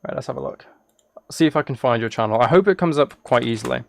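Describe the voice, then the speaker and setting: A man's voice: mid-range voice, animated, fast talker, gravelly, no echo. A man livestreaming casually, reacting and chatting in an easily sidetracked, self-deprecating way. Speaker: live streamer. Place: indoors at a streaming setup.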